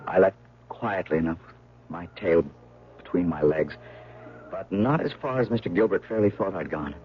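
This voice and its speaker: An actor playing an earnest, nervous young painter in love in a 1970s radio drama, with a hesitant delivery. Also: male voice, low voice, moderate pace, lightly acted. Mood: brooding. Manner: hesitant, stammering. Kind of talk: 1970s radio drama acting with first-person narration. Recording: tinny, noisy old radio broadcast.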